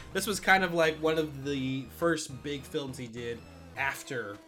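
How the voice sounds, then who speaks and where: Bright-voiced man chatting on a podcast. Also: on the high side, expressive, slow pace, soft background music. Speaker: podcast co-host. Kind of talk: casual film countdown discussion.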